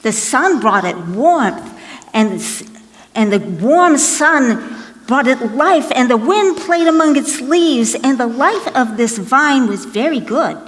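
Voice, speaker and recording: Woman playing a brash, high-pitched, grumpy storyteller. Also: lively intonation, slow, in a large hall. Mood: theatrical narrating. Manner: sassy, exasperated, theatrical reading. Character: brash, grumpy, wisecracking substitute children's storyteller. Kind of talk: comic children's storytelling skit.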